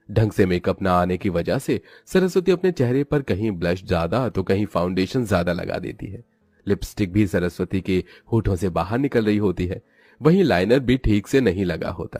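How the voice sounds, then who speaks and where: Male narrator, scripted cartoon storytelling, lowish rough-edged voice, music behind. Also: darkish tone, dry recording.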